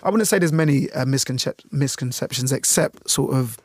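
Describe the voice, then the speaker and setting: A man's voice: mid-range voice, brisk, dry recording. A man talking casually and candidly in an interview, telling personal anecdotes and often acting out quoted remarks. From Jamaica, later moved to the UK. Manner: candid, chatty, often voices imagined quotes. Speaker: radio journalist being interviewed.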